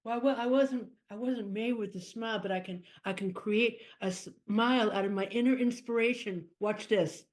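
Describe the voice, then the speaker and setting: A woman's voice: on the high side, normal speed, hardly any pauses, natural voice. A woman in an improv scene playing a female teddy bear who longs to be bought, talking in a dramatic, fond way. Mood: coaxing. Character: wistful unsold female teddy bear, longing.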